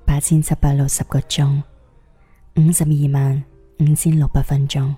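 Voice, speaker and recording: A woman's voice: low-pitched, flat delivery, dark tone, husky. A woman with a Cantonese accent acting a weary young woman's wistful monologue in a radio drama. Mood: wistful, reflective. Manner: wistful, measured, reflective. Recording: music behind the voice.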